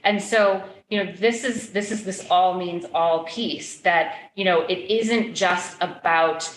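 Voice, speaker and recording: A woman's voice: on the low side, slow pace, echoey room, poor audio. A middle-aged woman chairing a school committee forum, answering questions earnestly with many fillers and restarts. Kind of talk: public meeting, answering questions.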